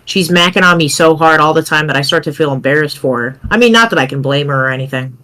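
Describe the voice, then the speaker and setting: A cool, cocky teenage boy chatting online, read by a woman. He is deadpan and smug, teasing and bragging as he goes, in an amateur webcomic dramatic reading. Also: low-pitched, fast talker.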